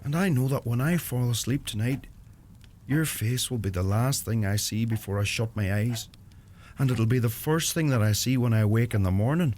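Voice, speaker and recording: An actor playing an earnest, plain-spoken young Irish farmer with a Donegal accent, torn by guilt, in a radio play. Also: male voice, medium pitch, normal speed, a little mellow, slight background noise, close to an everyday voice. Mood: yearning, torn.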